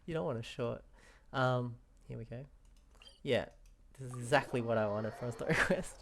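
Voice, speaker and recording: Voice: medium pitch, moderate pace, gravelly, a little hiss or hum. A person giving casual, off-the-cuff commentary while playing a video game. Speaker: gaming streamer playing and commenting.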